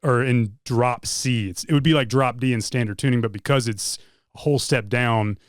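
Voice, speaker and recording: A man's voice: mid-range voice, normal speed, clean tone, dry recording, studio quality. A man in a band, talking casually in an interview and giving long, rambling explanations full of restarts. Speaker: band member.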